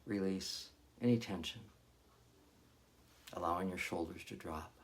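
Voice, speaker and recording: A man leading a guided meditation as a hypnotherapist, giving gentle, soothing instructions with long pauses between phrases. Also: low voice, a little hiss or hum.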